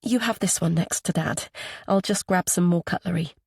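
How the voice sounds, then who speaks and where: A woman's voice: fairly low pitch, normal speed, a little mellow, slightly rough, no room sound, clean studio sound. A woman voicing a friendly, welcoming, easygoing mother in an audiobook. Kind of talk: audiobook character dialogue.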